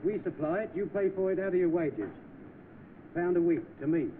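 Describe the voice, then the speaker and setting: A stern, curt British boss, played by a man in 1930s film style. He is all business, heard through a narrow soundtrack with clear background noise. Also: high voice, normal speed, natural voice. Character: brisk, stern male hire-car employer. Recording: tinny noisy old film soundtrack.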